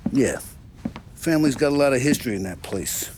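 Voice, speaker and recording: An actor playing a mob armorer in a cinematic game, a gruff, matter-of-fact underworld fixer with a businesslike way of talking. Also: male voice, medium pitch, expressive, a little fast, lightly acted. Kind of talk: cinematic video game acting. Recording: clear background noise and clicking.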